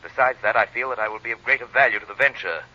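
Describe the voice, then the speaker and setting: Through a muffled, noisy old radio recording comes an invisible crime fighter. He is formal, measured and sure of himself, giving orders with authority in old radio drama style. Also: medium pitch, bright, forceful tone. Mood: calm authority. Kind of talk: vintage radio drama acting.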